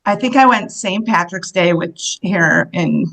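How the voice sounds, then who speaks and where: Animated, laughing woman in her fifties. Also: lowish voice, unhurried, creaky. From United States. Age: 50s. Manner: animated, laughs often while telling stories. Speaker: guest, solo travel coach. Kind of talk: casual interview storytelling.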